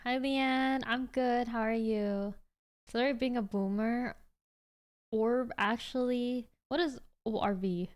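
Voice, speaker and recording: A woman in her twenties with a fairly high, very smooth and clean voice. She talks as a livestream host in loose, chatty rambles, answering viewers casually as she goes. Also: fairly even intonation, slow pace. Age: 20s.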